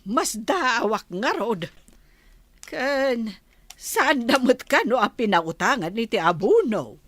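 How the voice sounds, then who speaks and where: A woman's voice: on the high side, very animated, slow, fairly bright tone, dry recording, exaggerated voice. A woman playing a nagging but fond older village mother in an Ilocano radio comedy drama, motherly, with an Ilocano accent. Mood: fond, curious.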